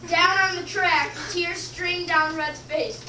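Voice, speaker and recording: A young girl reads story narration from a script in a high voice. She sounds distant, in an echoey room with clear background noise. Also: fairly even intonation, takes it slowly. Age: child or young teenager. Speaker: narrator in a student readers theater. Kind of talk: scripted narration read aloud.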